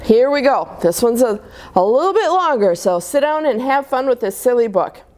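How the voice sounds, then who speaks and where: A woman's voice: fairly high pitch, big pitch swings, normal speed, darkish tone. A woman hosting a children's storytime, chatting to young listeners as she introduces a read-aloud.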